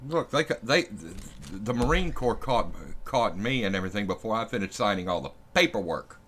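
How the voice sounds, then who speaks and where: A man chatting casually as a guest on a stream, halting and restarting his sentences as he goes. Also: fairly low pitch, animated, a bit bright, slight background noise. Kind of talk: casual chat.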